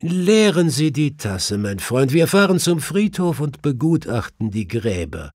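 An assured male detective from a crime audiobook, acted by a man. He is courteous and decisive, with a somewhat raised, lively voice in a dry studio sound. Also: on the high side, a little slow, no room sound, voice pushed up.

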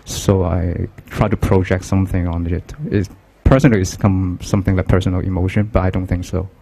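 A young man from Hong Kong with a Hong Kong accent, Cantonese being his first language, speaking as a guest artist in an interview at an art fair booth, halting and hesitant, on a handheld mic. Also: sits low, fairly even intonation, gravelly. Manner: hesitant, halting, soft-spoken. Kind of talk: casual interview talk.

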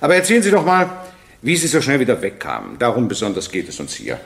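Stern judge with swooping intonation. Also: male voice, medium pitch, huge pitch swings, quick pace, vocal fry. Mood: insistent. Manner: formal, commanding, probing. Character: stern, insistent male judge questioning a witness. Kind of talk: German radio courtroom drama acting.

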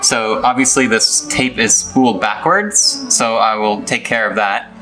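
Man, young adult, fairly deep voice, casual tutorial talk, slightly hesitant, indoor home recording. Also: measured pace, pushed and bright, faint music behind, rough recording. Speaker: hobbyist host demonstrating tape transfer. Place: small furnished rooms at home, indoors.

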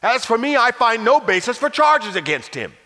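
A man's voice: very high-pitched, big pitch swings, moderate pace, bright, forceful tone, big-room sound, voice pushed up, full character voice. A man voicing an uneasy middle-aged Roman governor, insistent and questioning.

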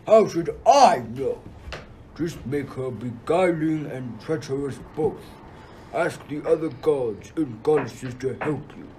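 A man's voice: a little high, animated, takes it slowly, warm tone, slight background noise, rough recording. A man playing a wrathful, scheming king of the gods in a radio play. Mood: commanding, scheming.

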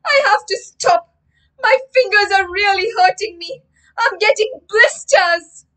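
A woman's voice: unhurried, sharp tone, exaggerated voice, rough recording. Woman voicing a panicky young boy. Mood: desperate pleading. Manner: high, pleading, panicky cries. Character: frightened young boy, a guitar player.

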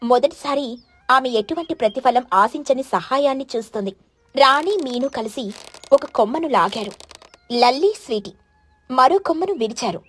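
A woman's voice: high voice, lively intonation, normal speed, fairly bright tone, a little hiss or hum. A woman narrator reading a scripted children's story.

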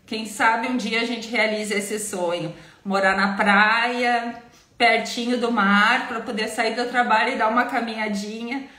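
A woman's voice: fairly high pitch, steady tone, measured pace, sharp tone, echoey room. A woman, a family lawyer with a cold, answering viewer questions on a live stream in a chatty, simple way, often ending points with a tag question. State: has a cold, nearly voiceless. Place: home office with bookshelves, indoors.

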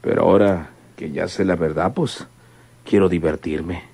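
An actor with a Mexican accent playing a carefree, flirtatious fugitive in a radio serial. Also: male voice, low-pitched, steady tone, normal speed, vocal fry, warm tone, slightly rough, heavily acted. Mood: jovial, flirtatious. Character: carefree flirtatious man on the run.

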